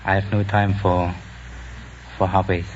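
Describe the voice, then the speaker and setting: Deep-voiced young German man on old radio. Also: flat delivery. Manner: earnest, halting. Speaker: quiz show contestant. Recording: dull, noisy vintage radio recording.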